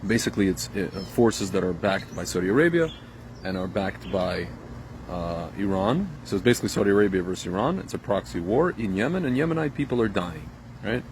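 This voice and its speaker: A man's voice: fairly low pitch, lively intonation, fairly quick, slight background noise. A man born in Ukraine talking casually to his viewers, rambling with fillers and restarts. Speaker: vlogger. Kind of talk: casual opinion monologue to camera.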